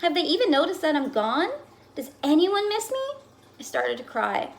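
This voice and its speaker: A woman reading a children's picture book aloud as a fussy, put-upon goldfish telling its diary, with dramatic, expressive delivery. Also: sits high, moderate pace, gravelly, exaggerated voice. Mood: wistful, worried. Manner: dramatic, exasperated, expressive storytelling.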